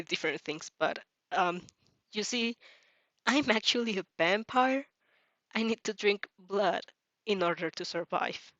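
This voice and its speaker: A woman voicing a friendly, earnest young female vampire in a story read-aloud, chatty and expressive. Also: mid-range voice, no room sound, close to an everyday voice.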